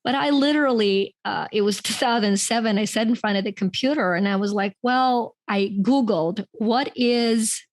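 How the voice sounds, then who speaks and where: A woman's voice: a little high, moderate pace, few pauses, no room sound. A middle-aged woman from Ukraine, a podcast guest telling her story in a chatty way, often laughing mid-sentence. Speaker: podcast guest, author and coach. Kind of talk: conversational interview storytelling.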